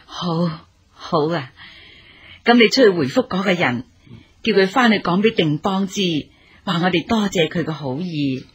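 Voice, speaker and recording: An actress playing a courteous elderly mother in a radio drama, speaking expressive Hong Kong Cantonese with a husky, deferential voice. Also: on the low side, normal speed. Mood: earnest, gentle. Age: older. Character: humble, courteous elderly mother of a young scholar.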